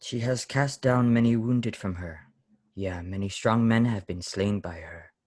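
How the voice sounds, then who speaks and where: An actor plays a brooding, pious lodger in an old-time radio drama, severe and easily angered. His voice is low and solemn, with deliberate, brusque phrasing, recorded very dry. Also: a little mellow, no echo. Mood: solemn, weary.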